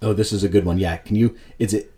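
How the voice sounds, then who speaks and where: A man co-hosting a podcast, chatting casually and telling jokey, animated stories. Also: on the low side, fast talker. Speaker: podcast co-host. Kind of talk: casual chat.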